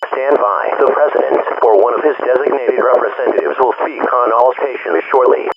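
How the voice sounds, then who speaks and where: A man reading a scripted national emergency broadcast in a measured, official tone. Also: medium pitch. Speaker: national emergency message announcer. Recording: dull lo-fi radio broadcast with humming noise.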